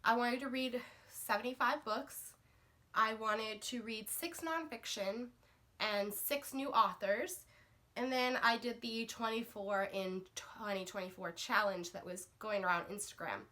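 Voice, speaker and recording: A woman's voice: on the high side, measured pace, pushed and bright. A woman book vlogger getting over a cold, chatting to camera in a rambling way with lots of fillers and restarts. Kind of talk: casual chat to camera.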